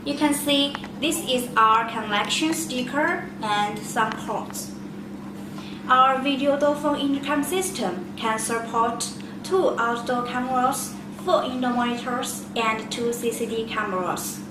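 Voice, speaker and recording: A woman giving a step-by-step product demonstration in simple, deliberate non-native phrasing. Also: a little high. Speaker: product presenter. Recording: echoey room, distant mic, loud noise.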